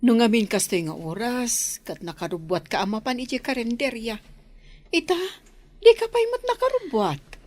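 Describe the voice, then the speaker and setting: A woman's voice: fairly high pitch, big pitch swings, moderate pace, a little mellow. A woman playing a concerned, nagging middle-aged village mother in an Ilocano comedy radio drama, with an Ilocano Filipino accent. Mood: concerned, scolding.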